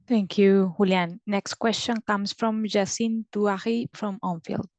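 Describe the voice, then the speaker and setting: A woman's voice: medium pitch, steady tone, measured pace, darkish tone, dry recording, lo-fi sound. Woman moderating a call, dry close sound.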